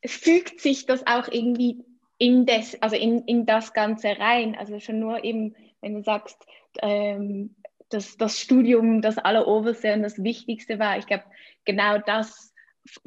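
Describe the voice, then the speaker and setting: A woman interviewing in a thoughtful conversational style, tentative, hedging and pausing in the middle of phrases. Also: a little high. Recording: dull, muffled lo-fi sound.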